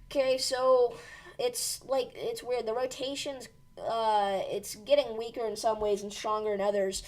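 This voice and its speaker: A woman's voice: fairly high pitch, level tone, measured pace, a little mellow. A woman hosting a weather livestream who is losing her voice, giving urgent but reassuring storm commentary with many fillers. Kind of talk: live storm-tracking commentary.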